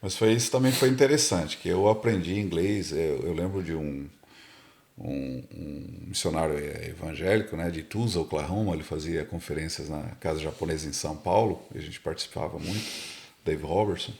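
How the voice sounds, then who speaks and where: A man's voice: extremely low pitch, fairly quick, creaky, darkish tone. A Brazilian man, a podcast guest, telling his story in casual, rambling talk and repeating words as he searches for them. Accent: Brazilian Portuguese.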